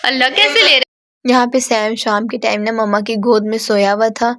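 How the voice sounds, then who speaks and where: A woman's voice: fairly high pitch, fairly quick, bright, forceful tone. A woman narrating a casual home vlog in a chatty, affectionate way, often talking to her cats. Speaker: vlogger.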